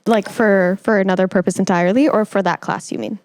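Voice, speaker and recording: A woman's voice: medium pitch, steady tone, quick pace, a little mellow, dry recording, clean studio sound. A young woman hosting a conversational podcast interview, speaking in an effusive, encouraging way. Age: young adult. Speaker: podcast host.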